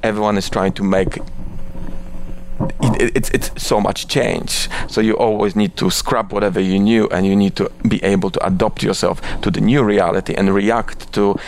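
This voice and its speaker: A man's voice: fairly low pitch, fairly even intonation, moderate pace, slight background noise. A middle-aged man with a Polish accent giving conversational interview answers, often restarting and repeating his words. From Poland. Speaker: interview guest, advertising executive. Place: office.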